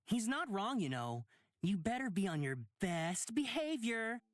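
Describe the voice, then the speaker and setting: A breezy, teasing young traveller, swooping intonation. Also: female voice, fairly high pitch, very animated, a little slow, no room sound. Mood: breezy storytelling. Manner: playful, teasing. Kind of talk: visual novel voice acting. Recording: music behind the voice.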